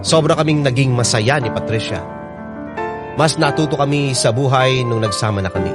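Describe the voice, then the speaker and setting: An actor playing a young Filipino boyfriend in a radio drama, speaking earnestly and expressively. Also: male voice, mid-range voice, moderate pace, natural voice. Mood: reflective, wistful. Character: devoted young boyfriend Ivan, playful, later irritable. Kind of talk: Tagalog radio drama acting with narration. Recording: music bed behind the voice.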